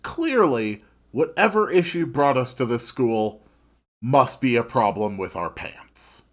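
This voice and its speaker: A man's voice: medium pitch, sing-song, takes it slowly, a bit bright. A man reading a visual novel aloud as first-person narrator, dramatic and emphatic. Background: music.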